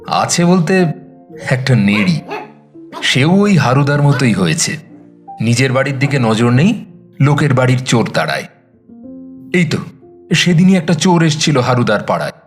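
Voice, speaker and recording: A man narrating a dramatised story in a chatty, expressive way, with conversational, teasing asides. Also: mid-range voice, normal speed, long pauses. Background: background music.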